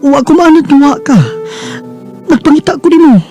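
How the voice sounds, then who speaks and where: A man's voice: extremely high pitch, moderate pace, mellow, soft background music. An actor playing an earnest lovesick man in a Filipino radio drama, with a Cebuano accent and an emotional, pleading delivery. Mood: desperate pleading. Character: lovesick earnest man pining for his former housemaid.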